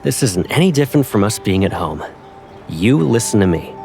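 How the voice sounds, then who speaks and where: A man's voice: fairly low pitch, very animated, moderate pace, creaky, a little mellow. A man playing a young pilot in an audio drama, playful and protective, teasing a childhood friend with affection. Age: young adult. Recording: faint music behind the voice.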